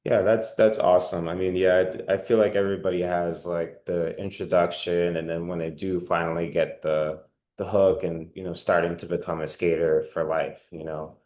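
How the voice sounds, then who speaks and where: Male interviewer, low voice, friendly questions, roomy echo. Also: little pitch movement, moderate pace, few pauses, darkish tone. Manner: friendly, encouraging, hesitant. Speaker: interview host. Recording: echoey room.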